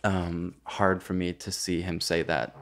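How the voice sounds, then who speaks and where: A man's voice: sits low, little pitch movement, measured pace. A young man co-hosting a casual podcast, with a jokey, exaggerating, sweary way of talking. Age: young adult. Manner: jokey, profane, hyperbolic. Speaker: podcast co-host.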